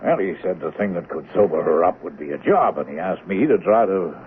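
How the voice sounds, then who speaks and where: A regretful, halting man with a very low voice. This is 1970s radio drama acting, heard on a thin, tinny recording with faint noise. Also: expressive. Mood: regretful, uneasy. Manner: hesitant, halting. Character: regretful, hesitant man giving testimony to police.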